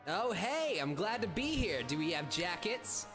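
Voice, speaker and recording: A man plays an eager cartoon hedgehog in a comic game dub. He is naive and excitable, high and bouncy, over clear music. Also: a little slow. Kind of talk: improvised comedic game fandub.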